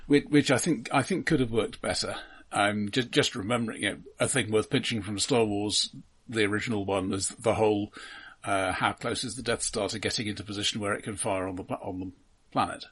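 Husky man giving measured film criticism. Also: fairly low pitch, steady tone, fairly bright tone. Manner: analytical, halting, dryly humorous. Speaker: podcast co-host.

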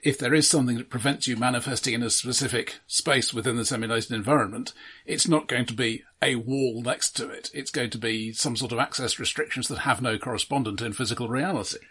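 A man co-hosting a casual film discussion, arguing his points in a measured, analytical way. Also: mid-range voice, bright, forceful tone, slightly rough. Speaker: podcast co-host.

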